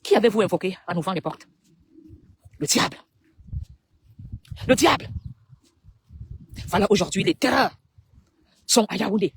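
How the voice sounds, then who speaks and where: A woman with a Cameroonian French accent making an impassioned public appeal, speaking emphatically in short phrases with dramatic pauses. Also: mid-range voice, lively intonation, gravelly, slightly rough.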